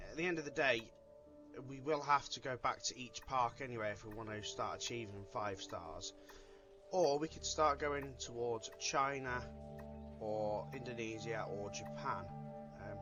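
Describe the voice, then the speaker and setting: A man's voice: mid-range voice, bright, forceful tone, muffled recording. A man giving casual playthrough commentary as a gaming video host, hesitantly thinking aloud. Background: game music.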